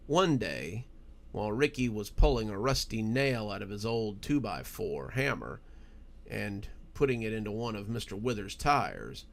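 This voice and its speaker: Creaky-voiced, animated male storyteller. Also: fairly low pitch. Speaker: horror story narrator and channel host. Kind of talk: dramatic storytelling narration.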